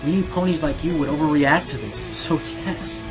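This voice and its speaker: A man's voice: a little high, normal speed, natural voice. A man playing a passionate, self-deprecating male Pegasus radio host in an earnest radio play. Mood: defensive. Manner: earnest, hesitant, turning indignant. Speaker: radio show host being interviewed. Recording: music behind the voice.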